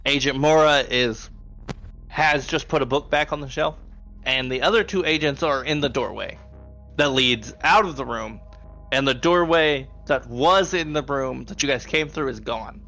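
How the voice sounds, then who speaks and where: Male game master, conversational tabletop narration, bright forward tone, faint music bed. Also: mid-range voice, normal speed.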